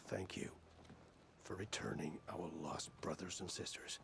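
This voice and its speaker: An actor playing a formal, grateful leader of a seafaring people in a video game, speaking in awed, dramatic phrases with a gravelly voice. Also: male voice, deep voice, steady tone, a little slow, a bit bright, a little hiss or hum, heavily acted.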